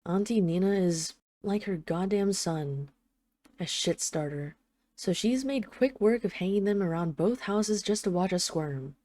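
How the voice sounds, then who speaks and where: A woman's voice: mid-range voice, dark tone, natural voice. A woman playing a tough but tender, jealous mafia boss, speaking intimately to an absent partner in a scripted audio roleplay voicemail. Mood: wry, exasperated. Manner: intimate, halting, sweary, addressing an absent lover.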